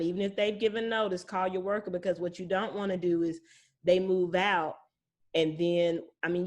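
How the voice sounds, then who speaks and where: A woman with a medium-pitched voice speaks as a guest expert. She explains in an informal, conversational way, often illustrating her points with anecdotes and bits of quoted dialogue. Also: a little mellow. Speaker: property manager, guest speaker.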